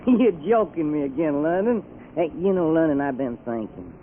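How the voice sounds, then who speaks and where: Folksy Texan on tinny old radio. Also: male voice, on the high side, lively intonation, unhurried, slight background noise. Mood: folksy, sly. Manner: folksy, homespun phrasing. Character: folksy, sly Texan businessman with a drawling swagger. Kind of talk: 1940s radio drama acting.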